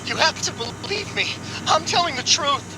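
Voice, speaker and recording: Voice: sits high, lively intonation, normal speed, background noise. An actor playing an agitated, pleading prison inmate who protests innocence in an anime game. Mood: desperate, pleading.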